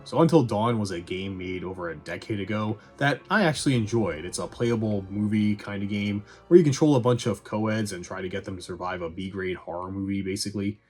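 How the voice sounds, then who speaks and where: Low-voiced man, wry and sarcastic. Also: brisk, few pauses, a little mellow, faint music behind. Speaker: film reviewer and host. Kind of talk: casual opinionated movie review narration.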